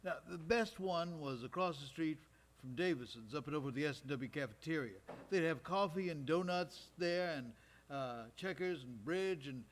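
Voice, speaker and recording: Man playing folksy war veteran, higher-pitched voice. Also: lively intonation, normal speed, natural voice. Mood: reminiscing. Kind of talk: live stage storytelling monologue, conversational. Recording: live stage recording in recreation hall.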